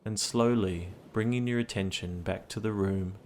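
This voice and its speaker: A man narrating a guided meditation in a gentle, soothing, unhurried manner. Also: fairly low pitch. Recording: clear steady background ambience noise, ocean sounds. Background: ocean sounds.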